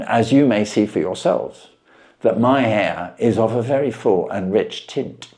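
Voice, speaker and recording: An earnest Victorian shopkeeper played by a male reader tells his tale at length in a storybook. He is respectful and homely, and his medium-pitched voice rises and falls with great animation. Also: a little mellow. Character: stay-at-home London pawnbroker, earnest, long-winded widower.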